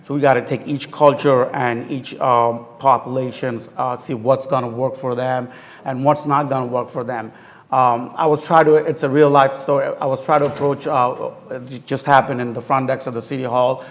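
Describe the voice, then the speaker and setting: Man, panelist, mid-pitched voice, earnest and halting, non-native grammar, hall reverb. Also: steady tone. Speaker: panelist working with immigrants. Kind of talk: panel discussion. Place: university forum hall.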